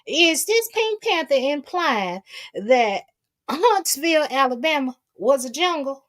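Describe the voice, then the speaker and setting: A woman's voice: high voice, very animated, takes it slowly, a bit bright. Sassy American woman, casual gossip host. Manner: sassy, colloquial, repeats herself for emphasis. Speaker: commentary vlog host.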